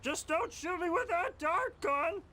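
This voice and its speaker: A man's voice: very high voice, lively intonation, a little slow, bright, forceful tone, heavily acted. A man voicing a slovenly drunken barfly in a cartoon game, with a slurring manner. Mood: woozy.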